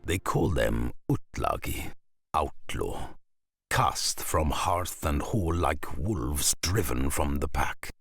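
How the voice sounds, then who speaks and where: A grave old Norse storyteller, a man with an extremely deep, creaky voice. He unfolds a game's saga narration solemnly and slowly, with ominous weight and a husky grain. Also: animated, fairly bright tone, no echo, faint music behind, exaggerated voice.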